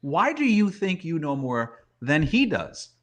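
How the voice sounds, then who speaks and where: A man's voice: fairly high pitch, big pitch swings, measured pace. Emphatic man talking sports. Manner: emphatic, opinionated, rhetorical questions. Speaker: sports commentator and guest. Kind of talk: conversational sports talk.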